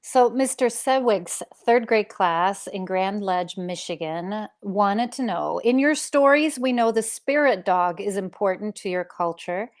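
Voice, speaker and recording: A woman's voice: medium pitch, animated, a little slow, hardly any pauses. A woman interviewer on a video call, conversationally putting questions to a guest. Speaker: interviewer relaying children's questions.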